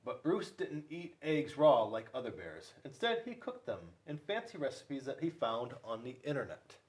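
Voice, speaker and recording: Male children's storyteller, mid-pitched with big swings, playful, speaks straight to young listeners. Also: sing-song, normal speed, poor audio. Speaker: school resource officer reading to children. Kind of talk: animated children's read-aloud.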